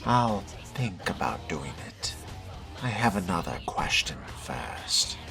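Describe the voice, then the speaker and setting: A man voicing a guarded fledgling vampire in a video game role-play, with dry, deliberate, sardonic delivery. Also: low voice, sing-song, vocal fry, over music, exaggerated voice.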